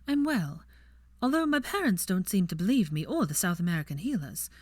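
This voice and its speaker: A woman's voice: lowish voice, very animated, a little fast, no room sound, clean studio sound. A woman voicing an earnest, bookish young witch in an audiobook, with an airy, polite manner. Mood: mildly exasperated. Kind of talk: audiobook character voice.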